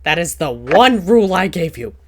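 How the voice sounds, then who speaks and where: A woman's voice: on the low side, big pitch swings, normal speed, a little hiss or hum. A woman hosting a casual podcast chat in a playful, teasing, rambling way. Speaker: podcast host.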